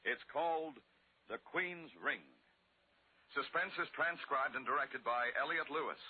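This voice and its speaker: A man reading scripted announcements in a formal, measured 1950s radio style. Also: mid-range voice, husky. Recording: muffled band-limited old radio broadcast.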